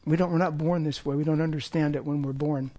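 An older man preaching in a church with a slightly high, hoarse voice. He talks in a rambling, self-deprecating way, full of fillers and restarts, and wears a clip-on mic. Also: fairly even intonation, quick pace, dark tone. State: losing his voice. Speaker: lay preacher. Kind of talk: informal sermon with opening prayer.

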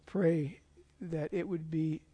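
An older man preaching in a church with a slightly high, hoarse voice. He talks in a rambling, self-deprecating way, full of fillers and restarts, and wears a clip-on mic. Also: steady tone, slow, mellow. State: losing his voice. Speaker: lay preacher. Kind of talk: informal sermon with opening prayer.

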